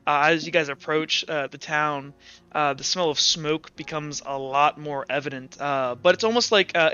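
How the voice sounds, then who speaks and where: A man narrating a tabletop roleplaying game as game master in a halting, informal way. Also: on the high side, pushed and bright, clear, resonant tone, lo-fi sound.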